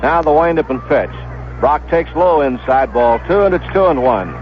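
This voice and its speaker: American guy doing baseball play by play, slightly high voice, dull old am radio sound. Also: lively intonation, moderate pace, a crowd in the background. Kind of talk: live radio sports commentary. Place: stadium broadcast booth. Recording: dull, noisy 1970s AM radio broadcast.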